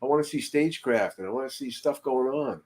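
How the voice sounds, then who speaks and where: A man's voice: medium pitch, fast, a little mellow. An older man chatting casually on a podcast video call, telling anecdotes in an emphatic way and repeating words for emphasis. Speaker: podcast co-host. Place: room at home, video call.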